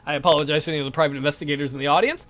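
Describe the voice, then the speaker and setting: A man's voice: fairly high pitch, steady tone, rapid-fire, a bit bright. A man hosting a let's play, performing a visual novel aloud with irreverent asides. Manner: irreverent, swearing. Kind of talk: performed reading with joking asides.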